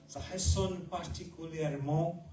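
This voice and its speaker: Brazilian-accented male pastor, unhurried sermon delivery, picked up from far off in an echoey hall. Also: fairly high pitch, steady tone, music in the background. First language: Portuguese. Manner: slow, measured delivery with pauses between short phrases.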